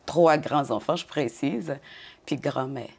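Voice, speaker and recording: An older woman from Côte d'Ivoire answering interview questions in a measured way, often repeating words as she goes. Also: deep voice, vocal fry, a little mellow, slightly rough. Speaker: interview guest.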